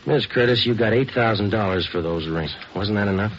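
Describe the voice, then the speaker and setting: A man playing a terse, no-nonsense police detective in a 1940s radio crime drama, clipped and deadpan. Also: on the low side, a little fast, slight background noise, close to an everyday voice. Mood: pressing. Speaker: police detective and case narrator. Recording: dull old radio recording with loud music.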